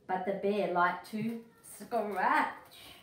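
Slow-paced woman reading to young children. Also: mid-range voice. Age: middle-aged. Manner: expressive, emphatic, addressing young children. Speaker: early childhood educator, story-time host. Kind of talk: children's picture book read-aloud. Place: small indoor room. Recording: echoey room, distant mic, faint noise.

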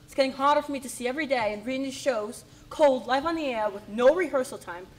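A woman's voice: sits high, fast talker, lightly acted, low-quality recording. A young woman acting on a school stage as an exasperated, ageing radio performer who complains indignantly.

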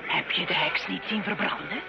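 Brash, flirty tavern wench, muffled recording. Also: female voice, lowish voice, normal speed, creaky, over loud music. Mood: brash, gossiping. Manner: flirtatious, mocking, laughing. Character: brash, teasing tavern prostitute in medieval Rouen. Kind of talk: old radio drama acting. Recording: dull, noisy old radio recording.

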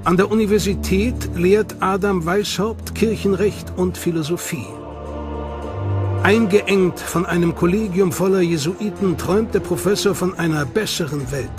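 Higher-pitched male documentary narrator. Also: normal speed, over music.

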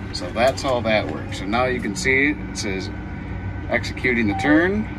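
A man's voice: lively intonation, normal speed. A man walking through tractor display features step by step in a casual demo, recorded inside a tractor cab in a field. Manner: plain, step-by-step explaining. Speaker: precision ag specialist demonstrating equipment. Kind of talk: casual hands-on demo narration. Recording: audible engine noise in the cab. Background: tractor engine rumble.

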